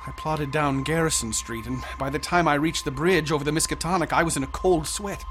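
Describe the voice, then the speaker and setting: Radio drama: young male student, haunted and obsessive, slightly high voice, intense, formally articulate played by a man. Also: brisk, noisy background, close to an everyday voice. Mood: troubled. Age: young adult.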